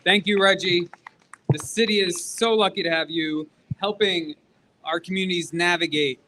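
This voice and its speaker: A man's voice: high voice, steady tone, normal speed, background noise. A man acting as emcee at a press conference, introducing speakers to the gathering. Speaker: event emcee. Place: outdoors in a city square. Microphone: event microphone.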